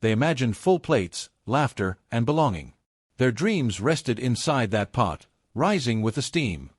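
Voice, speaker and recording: A male storyteller delivers a scripted folktale with grave weight. His pitch moves in animated swings across short, measured phrases. The sound is dry and close, with little room around it. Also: slightly rough, no echo. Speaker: storyteller, narrator.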